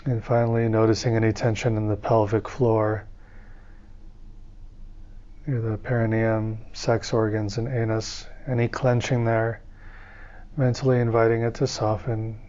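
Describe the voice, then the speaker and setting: A man leading a guided meditation as a teacher, speaking in calm, soothing, measured phrases with pauses between them. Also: on the low side, muffled recording.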